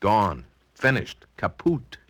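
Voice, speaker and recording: Bright, breezy broke heir. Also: male voice, lowish voice, very animated, slow, husky, full character voice. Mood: wry resignation. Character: weary, broke heir to a crumbling mansion, affable. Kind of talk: 1940s radio acting.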